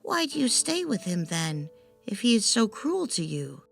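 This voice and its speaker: A woman voicing a small, gentle fairy in a storybook tale, pleading and earnest, loyal to her friend. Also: fairly high pitch, sing-song, unhurried, gravelly, soft background music, voice pushed up, full character voice. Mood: pleading, concerned. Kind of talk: storybook character voice.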